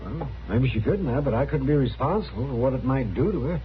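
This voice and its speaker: A crusty, protective American frontier doctor as acted by a man. His pitch moves in a lively way as he talks, testy and wry, in old radio western style. The sound is narrow and tinny with faint hiss. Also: fast, close to an everyday voice. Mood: protective, firm.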